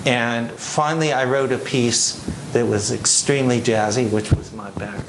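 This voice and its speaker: A man's voice: medium pitch, moderate pace. A middle-aged man, a composer speaking at a panel, telling anecdotes with frequent restarts in a casual way. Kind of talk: casual talk answering audience questions. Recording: echoey room with noise.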